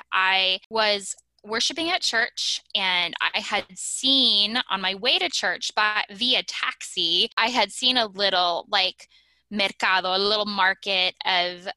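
Bright, bubbly woman telling her story. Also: medium pitch, dry recording, poor audio. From Ecuador. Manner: bubbly, storytelling, frequent laughs. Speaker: guest, small business owner. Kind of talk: casual podcast interview.

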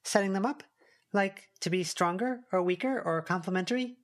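A man's voice: high voice, moderate pace, pitched above the performer's own voice, lightly acted. A man voicing a curious, eager teenage schoolgirl in an audiobook. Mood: probing, curious. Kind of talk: audiobook character dialogue.

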